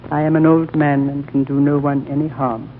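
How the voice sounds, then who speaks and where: An actor playing an ancient, frail High Lama who speaks slowly and courteously, in 1930s radio drama style. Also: male voice, level tone, lightly acted. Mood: gentle. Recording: tinny old radio broadcast, faint hiss.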